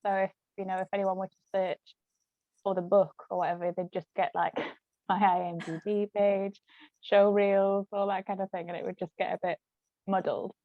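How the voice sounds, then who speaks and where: Female interview guest, casual talker, medium pitch, slightly muffled lo-fi recording. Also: little pitch movement, normal speed, dry recording. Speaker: author and actor, interview guest. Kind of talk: casual chat.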